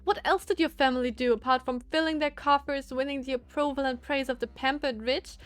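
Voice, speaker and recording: A haughty, sardonic lady from a visual novel, as a woman reader puts her on. Her voice is high yet aloof and teasing, with faint music underneath. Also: steady tone, fairly quick, dry recording, natural voice. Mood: mocking. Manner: aloof, sardonic, feigned innocence.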